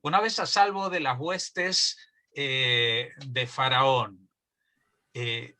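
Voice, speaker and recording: A man moderating an online panel, asking a long, deliberate question with many pauses. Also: mid-range voice, fairly even intonation, bright, forceful tone.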